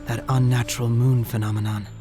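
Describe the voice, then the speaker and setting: A man playing a cool, accusing young ninja in an anime game dub, serious and measured. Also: mid-range voice, level tone, natural voice. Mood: analytical. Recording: prominent background music.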